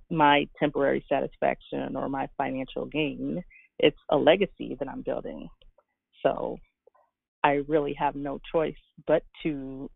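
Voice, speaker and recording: Woman from guyana answering interview questions, gravelly fry, earnest, dry phone-like sound. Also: extremely low pitch, no room sound. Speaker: interview guest, fashion designer and business owner.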